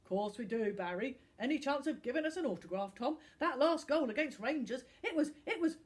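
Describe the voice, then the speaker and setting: A woman's voice: sits high, expressive, fairly quick, pitched above the performer's own voice. A woman voicing a grown man who begs a footballer for an autograph in a storybook. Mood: starstruck pleading. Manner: eager, pleading. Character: starstruck grown man, bully sidekick.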